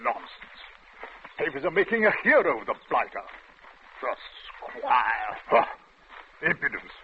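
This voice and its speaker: A man's voice: medium pitch, big pitch swings, unhurried. An actor playing a pompous, indignant upper-class English country sportsman of middle age in an old-time radio drama. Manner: pompous, blustery, exclamatory. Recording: muffled, noisy old radio recording.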